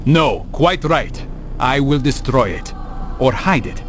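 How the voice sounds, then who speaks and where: An actor playing a determined medieval assassin in a video game, speaking in a measured, dry, commanding manner over music. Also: male voice, mid-range voice, lively intonation, a bit bright. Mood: dry, resolute. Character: calm, determined medieval male assassin hero. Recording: game dialogue under clear music.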